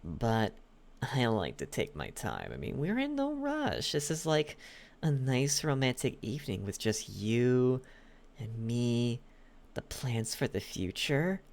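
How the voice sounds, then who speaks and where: A man playing a possessive, lovesick demon butler in an intimate ASMR roleplay, coaxing with a halting delivery and lingering pauses. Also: mid-range voice, sing-song, creaky, darkish tone, full character voice. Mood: earnest, smooth.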